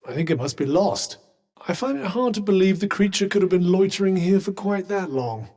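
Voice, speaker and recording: A man's voice: high voice, lively intonation, fairly quick, darkish tone. A man with a British accent voicing a haughty, erudite magical cuff in a fantasy video game, with polished diction and dry sarcasm. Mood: wry, composed. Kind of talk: scripted game companion dialogue.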